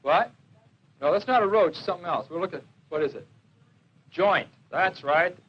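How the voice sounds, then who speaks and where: A man's voice: high voice, lively intonation, normal speed, muffled recording, a little hiss or hum. A man giving an informal classroom slide lecture as a police drug educator, on a 1970s educational film soundtrack. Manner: questioning, repeats and confirms answers.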